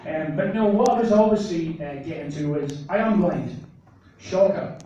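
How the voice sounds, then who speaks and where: A middle-aged man from northeast Scotland with a northeast Scottish accent, doing a deadpan, self-mocking stand-up comedy set in a small club. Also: sits high, normal speed, lo-fi sound, a little hiss or hum. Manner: deadpan, self-mocking, gestures a lot while talking. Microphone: handheld mic, filmed from far back in the crowd. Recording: echoey club from far off, crowd laughter.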